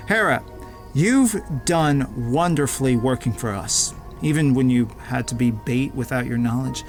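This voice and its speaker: An actor playing a smug, menacing crime lord in an audio drama, pompous and taunting with drawn-out mock politeness. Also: male voice, medium pitch, soft background music, natural voice. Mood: smug, pleased. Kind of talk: audio drama villain acting.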